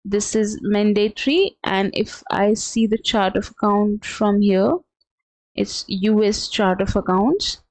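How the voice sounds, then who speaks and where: A woman presenting a live training webinar, explaining step by step in a halting, instructional way. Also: medium pitch, fairly even intonation, a little mellow, no echo, low-quality recording. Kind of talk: live instructional screen-share walkthrough.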